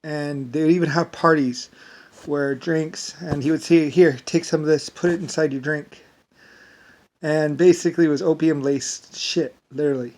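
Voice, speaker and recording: A man's voice: fairly high pitch, steady tone, normal speed, a little mellow. An American man with an Irish-sounding lilt, talking in a rambling, meandering casual monologue. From United States. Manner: rambling, meandering, leans on "basically".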